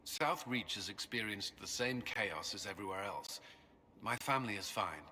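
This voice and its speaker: A man's voice: fairly low pitch, animated, moderate pace, bright, forceful tone, slight background noise. An actor playing a guilt-ridden male templar commander in a video game, speaking solemnly and earnestly. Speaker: game character.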